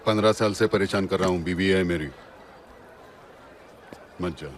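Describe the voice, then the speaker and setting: A man acting a bitter, quarrelsome, hard-drinking taxi driver husband in a film drama, talking in a rough street manner. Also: deep voice, normal speed, noisy background, natural voice. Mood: pleading. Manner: rough colloquial street Hindi, confrontational. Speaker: actor in a film. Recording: dramatic music behind the dialogue.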